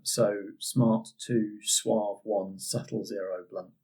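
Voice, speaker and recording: A man's voice: on the low side, fairly even intonation, slow, a little mellow, slightly rough. A man chatting casually as a podcast guest, thinking his answers through aloud in a thoughtful way. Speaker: podcast guest, game master. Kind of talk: casual chat.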